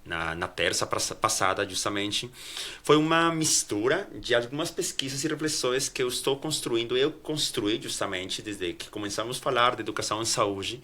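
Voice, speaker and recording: A Colombian man whose first language is Spanish, answering as a guest professor with a Colombian accent, halting, with many restarts. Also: mid-range voice, sharp tone. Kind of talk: academic interview answers.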